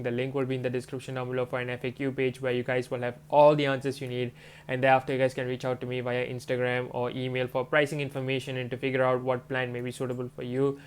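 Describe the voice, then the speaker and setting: Man, stuffy nose, monotone, smooth clear voice, rambling coach talk. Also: medium pitch. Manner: rambling, many fillers like "you know" and "um". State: congested from a stuffy nose and swollen throat. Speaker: manifestation coach. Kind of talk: casual talk to camera.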